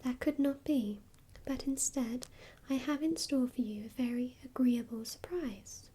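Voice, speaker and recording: A woman's voice: high-pitched, measured pace, breathy edge. A woman whispering as an odd old godfather. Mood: soothing. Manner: whispered, monotonous chanting. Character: odd old male godfather, grating and teasing.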